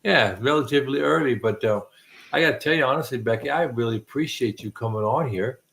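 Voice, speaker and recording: A man's voice: mid-range voice, quick pace, gravelly, a bit bright. A middle-aged man hosting a casual late-night chat, rambling with many restarts and self-deprecating jokes. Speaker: host.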